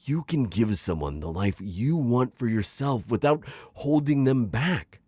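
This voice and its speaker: A man's voice: medium pitch, lively intonation, mellow, no room sound, clean studio sound. A man playing a suave, manipulative magical villain mentor in an intimate ASMR roleplay, coaxing and teasing as he talks. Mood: persuasive, teasing. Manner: coaxing, smooth-talking, chuckling, drawn-out teasing phrasing. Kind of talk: ASMR roleplay acting, intimate one-sided dialogue.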